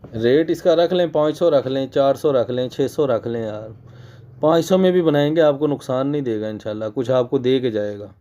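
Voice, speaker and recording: A hoarse man with a Pakistani Urdu accent guides viewers step by step at his sewing machine, repeating key points patiently. His voice is mellow and dark, with frequent throat-clearing. The recording sounds dull and lo-fi. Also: medium pitch, moderate pace. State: hoarse from a cold. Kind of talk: casual tutorial voice-over.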